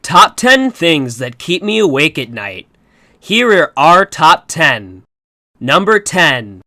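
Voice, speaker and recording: A man acts out a countdown host for absurd top-ten videos. The delivery is drawn-out and deliberate, with a bright, forceful edge and lively pitch. The sound is close and dry. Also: no room sound, exaggerated voice. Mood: mock-serious. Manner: slow, drawn-out announcing. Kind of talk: mock countdown video narration.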